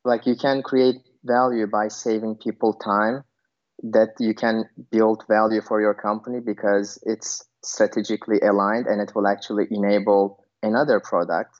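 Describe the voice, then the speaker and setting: A man from Turkey with a low voice answers interview questions in a loose, searching way, full of fillers and restarts. The recording is dull and lo-fi. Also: flat delivery, normal speed. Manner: thinks aloud with many fillers and restarts. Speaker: podcast guest, product manager. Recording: dull lo-fi sound.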